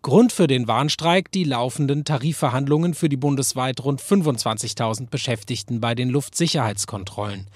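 A man reporting for a regional news program in a measured, scripted voice-over. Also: mid-range voice, dry recording.

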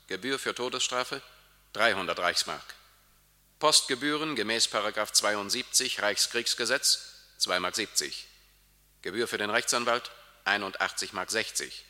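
An actor playing a court official who reads out a bill in a flat, bureaucratic way in a radio drama. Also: male voice, fairly low pitch, in a large hall. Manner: flat, bureaucratic reading of figures. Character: dry male court official reading a bill. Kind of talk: 1950s radio drama acting.

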